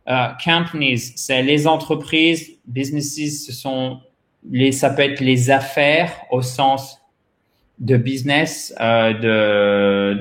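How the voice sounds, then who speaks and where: A man with a medium-pitched voice teaches pronunciation in an echoey room. He is a native French speaker and explains in a didactic way, turning directly to the learners as he goes. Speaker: English pronunciation teacher, host. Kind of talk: interactive language lesson, explanatory.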